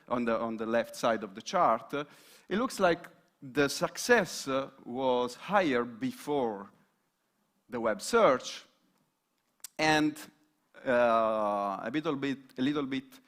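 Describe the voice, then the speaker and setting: A man's voice: mid-range voice, fairly bright tone. A man presenting research results at a panel, hesitant, with many fillers and restarts. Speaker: student presenter.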